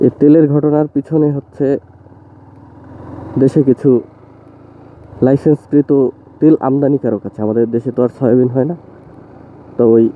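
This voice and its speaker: Man musing on a motorbike. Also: mid-range voice. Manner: loose, musing, opinionated. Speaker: motovlogger. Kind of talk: casual talk to camera while riding. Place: outdoors on a motorbike on rural roads. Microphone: phone mounted on motorbike. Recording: thin phone-like sound, faint road noise.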